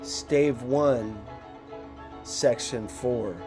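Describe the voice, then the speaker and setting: A man reading a classic story as an audiobook narrator, with dramatic, deliberate phrasing. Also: mid-range voice, music in the background.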